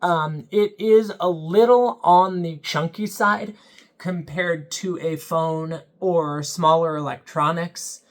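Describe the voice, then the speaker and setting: A reviewer speaking at a mid-range pitch, walking through a device's pros and cons in a steady, explanatory tone. The delivery is unscripted and measured, with short thinking pauses between points. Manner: measured and explanatory, pausing with ums. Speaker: product reviewer.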